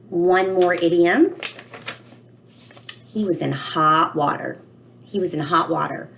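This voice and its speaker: Lady doing a read-aloud lesson for kids, explains stuff, asks lots of questions, medium pitch. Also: vocal fry, a bit bright. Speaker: teacher reading a picture book to children.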